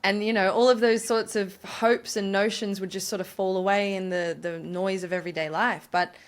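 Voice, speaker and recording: An enthusiastic woman hosting a podcast, chatting casually with her guest. She affirms warmly and thinks out loud, circling through her ideas. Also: mid-range voice, steady tone, normal speed. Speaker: podcast host. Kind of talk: casual interview chat.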